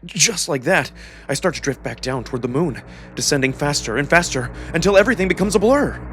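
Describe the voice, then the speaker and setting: A man narrating a first-person story dramatically, with expressive, measured phrasing. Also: a little high, faint music behind. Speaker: narrator and performer of a visual novel.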